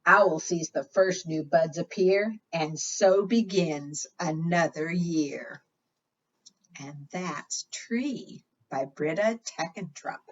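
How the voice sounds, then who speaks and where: A woman's voice: fairly low pitch, takes it slowly. A woman leading a preschool storytime, reading aloud expressively and putting questions to her young listeners. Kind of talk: read-aloud for young children. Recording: dull lo-fi sound.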